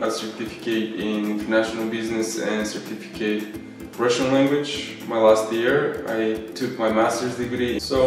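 A man's voice: on the low side, far from the mic. Bulgarian-accented young man, echoey room. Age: 20s. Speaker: volleyball player. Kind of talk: casual interview. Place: beach outdoors and trophy room indoors. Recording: very echoey sound with music behind.

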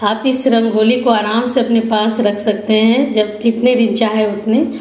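A woman giving an instructional craft voice-over as an artist, explaining simply step by step with pauses. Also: a little high, little pitch movement, a little mellow. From India. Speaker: rangoli artist giving a demonstration. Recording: echoey room, faint background noise.

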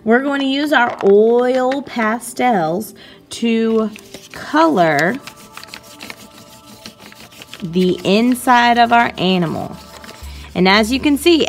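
Slow, patient woman teaching art. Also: fairly high pitch. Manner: slow, patient and clearly instructing children. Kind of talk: step-by-step classroom art lesson voice-over.

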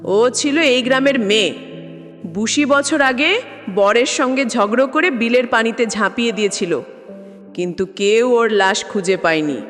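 A woman's voice: fairly high pitch, measured pace, a bit bright. A woman voicing a grave, superstitious elderly village man who speaks in cautioning tones in a ghost story. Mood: grave warning. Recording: long reverb, clear background music.

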